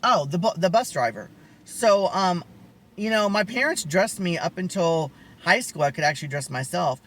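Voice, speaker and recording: A young man with a high voice, telling personal stories in a rambling, candid way, often losing his thread. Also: expressive, moderate pace. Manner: rambling, candid, lots of restarts and asides. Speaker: vlogger. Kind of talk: casual storytelling to camera.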